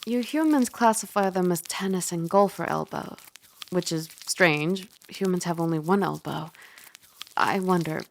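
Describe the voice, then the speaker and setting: A woman voicing a caring young dragon roommate in a soft ASMR roleplay, gently bossy and teasing as she gives close-mic instructions. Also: lowish voice, unhurried, a little hiss or hum. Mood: curious, puzzled. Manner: gently bossy, teasing, puzzled by human ways. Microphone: close ASMR microphone.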